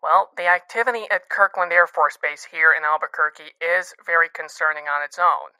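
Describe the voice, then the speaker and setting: A woman voicing a male Air Force officer who calls in to a radio station, speaking in a measured, analytical way. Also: low voice, sharp tone, smooth, no echo, poor audio. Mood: concerned. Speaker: expert caller.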